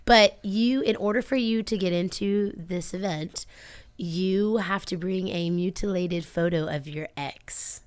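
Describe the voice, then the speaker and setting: A woman's voice: fairly low pitch, measured pace, clear, resonant tone. A woman co-hosting a radio show, chatting and bantering casually. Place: radio studio.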